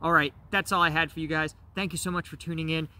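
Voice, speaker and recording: Host, man in his 40s, a bit high and bright, chatty outdoor gear review, forest setting. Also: steady tone, fast talker, slight background noise. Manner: conversational, frequent "right?" and fillers. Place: outdoors in a bare winter forest.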